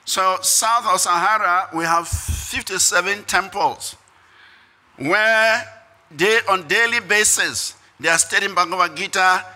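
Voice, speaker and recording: An older man with a Ghanaian accent giving a prepared speech from a lectern in measured, short phrases. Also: high voice, bright, forceful tone. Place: conference hall stage. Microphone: podium microphones.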